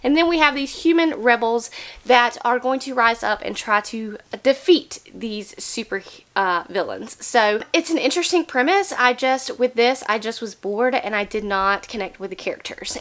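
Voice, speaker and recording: A young woman talks through her month of reading in a candid, chatty way. Her voice sits a bit high, and a cold leaves her slightly nasal. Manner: chatty, candid, animated opener. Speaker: book vlogger. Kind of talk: casual chat about books.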